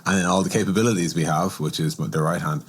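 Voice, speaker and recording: Very deep, husky, fast-talking man. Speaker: podcast host. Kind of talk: conversational interview and opinion.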